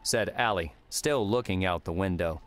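A man's voice: low-pitched, moderate pace. A man reading scripted fiction as an audiobook narrator.

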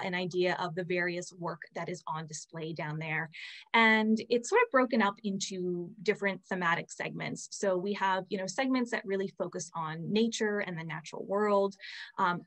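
A young woman hosting for a museum, introducing a guest speaker over a video call with a friendly, filler-heavy delivery. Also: on the low side. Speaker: museum host. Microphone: laptop webcam mic on a video call.

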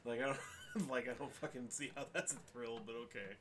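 Blunt, foul-mouthed podcast co-host, faint hiss. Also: male voice, mid-range voice, brisk, fairly bright tone. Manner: crude, blunt, swears freely. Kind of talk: crude casual banter.

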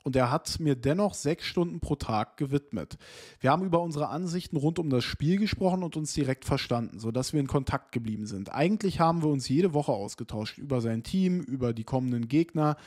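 A man's voice: fairly high pitch, animated, fairly quick, a little mellow. A man in his thirties reading an interview aloud on stream and chatting about it in a small room. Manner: reads text aloud, then comments in loose asides with fillers. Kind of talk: casual chat, reading an article aloud.

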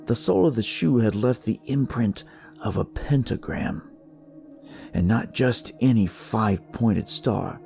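A man playing a jaded, crude police detective, narrating his noir story in a wry, world-weary, conversational way with a gravelly, husky voice. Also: lowish voice, expressive, measured pace, mellow, faint music behind. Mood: wry, confiding. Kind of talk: noir first-person scripted narration.

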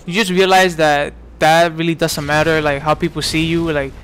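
A man's voice: a little high, level tone, normal speed, fairly bright tone, a little hiss or hum. A man in his twenties from New York giving casual, rambling commentary over gameplay, full of fillers and restarts. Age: 20s. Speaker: gaming commentator.

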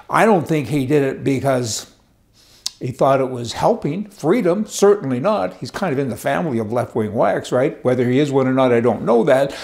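A man's voice: a little high, creaky, darkish tone. An older man hosting a political commentary show, speaking to camera in a chatty, sarcastic way while reading from papers in a studio. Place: studio with wooden backdrop.

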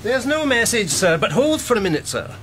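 A man's voice: extremely high pitch, very animated, brisk, fairly bright tone, slight background noise, full character voice. An actor playing a polite, evasive male hotel clerk in a radio drama, formal and deferential. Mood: polite, guarded.

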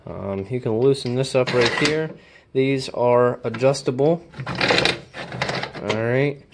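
A man's voice: mid-range voice, slow pace, very noisy. A man casually walking viewers through unboxing a tool indoors, talking in a chatty, informal way with many fillers and restarts, filmed on a handheld phone. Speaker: video host doing a tool unboxing. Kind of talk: casual hands-on demonstration. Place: indoor room with wooden workbench. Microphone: handheld phone camera, first-person view.

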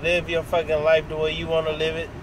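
American guy in his 50s talking blunt and casual, swears a lot, kinda high voice, clear car noise behind him. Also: monotone, a little fast. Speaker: vlogger. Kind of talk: casual opinion monologue to camera. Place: inside a parked or moving vehicle cab. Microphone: phone at arm's length. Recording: phone recording with clear vehicle noise. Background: car and air conditioning hum.

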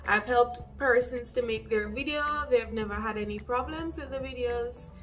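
A young woman with a Jamaican accent giving casual, direct advice to camera as an English teacher, with lively asides. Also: fairly high pitch, normal speed, soft background music. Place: small room indoors.

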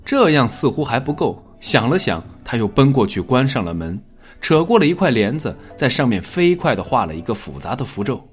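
Expressive male audiobook narrator, husky, over music. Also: mid-range voice. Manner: measured, expressive storytelling. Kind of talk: scripted dramatic story narration.

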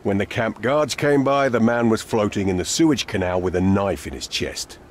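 An actor playing a gruff, cynical older police inspector in a video game, blunt, irritable and sardonic. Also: male voice, lowish voice, normal speed, slight background noise, lightly acted. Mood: blunt, matter-of-fact. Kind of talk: video game cutscene acting.

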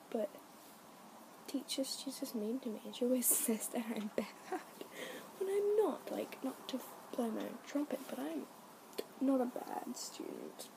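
A woman's voice: on the high side, vocal fry. A young woman whispering a rambling, giggly story to her camera as a vlogger. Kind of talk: whispered ASMR story time. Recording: noisy home recording.

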